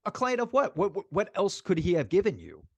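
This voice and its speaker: Male sports podcast co-host, raised pitch, dry recording, emphatic and repetitive delivery, conversational. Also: huge pitch swings, a little fast, slightly rough, no room sound. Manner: emphatic, repeats phrases for effect. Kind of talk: casual sports chat.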